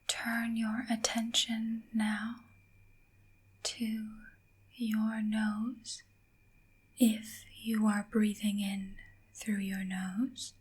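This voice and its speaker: A woman softly whispering a guided ASMR meditation in a gentle, calming manner, leaving long pauses between short phrases. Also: mid-range voice. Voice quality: soft, breathy whisper.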